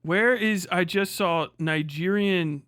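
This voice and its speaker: A man's voice: high voice, takes it slowly, a bit bright, smooth, no echo, studio quality. A man live streaming in casual reaction chat, talking in an exclamatory, profane way.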